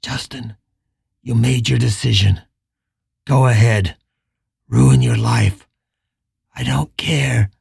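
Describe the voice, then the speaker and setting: A reader voicing Richard, a remorseful middle-aged man pleading with the young man he once rejected, in a lowered, emotional voice. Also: slow pace, creaky, pauses often, darkish tone, breathy edge, dry recording, studio quality, full character voice. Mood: cold.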